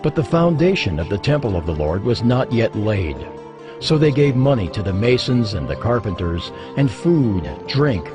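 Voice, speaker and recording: A man narrating scripture as a scripted dramatized reading, solemn and expressive in his storytelling. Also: lowish voice. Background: music.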